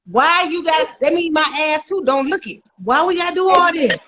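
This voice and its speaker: A woman's voice: sits high, normal speed, pushed and bright. A woman in her fifties, a therapist, talking bluntly in casual podcast chat with profane comic outbursts. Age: 50s. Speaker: podcast co-host; therapist and CPR instructor.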